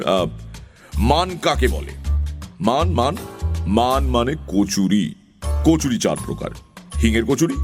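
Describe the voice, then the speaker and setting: Man playing a cheeky boastful crow with swooping pitch. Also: darkish tone. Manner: rambling, mock-official, retorting with questions. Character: cheeky crow accountant, nonsensical, argumentative, boastful. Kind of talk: children's comic audio story acting.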